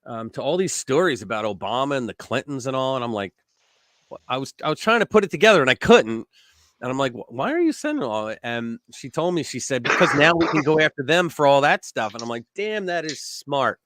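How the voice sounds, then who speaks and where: A man's voice: medium pitch, very animated, fairly quick, a bit bright, no echo. Rambling male political talk host. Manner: rambling, repeats words while building a point. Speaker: host of a political call-in show. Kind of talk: casual political chat.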